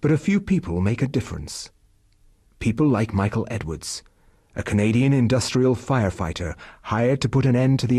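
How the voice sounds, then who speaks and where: A man playing a grave, brooding narrator of a horror game, speaking deliberately in a husky voice. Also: lowish voice, a little mellow, no echo, clean studio sound. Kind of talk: scripted dramatic game narration.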